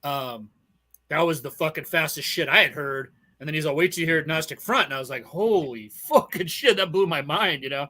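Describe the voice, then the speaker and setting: A man's voice: on the high side, fairly quick, sharp tone. A man in his forties, chatting casually on a podcast, rambling and swearing constantly. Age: 40s. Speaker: podcast guest, band member. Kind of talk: casual chat.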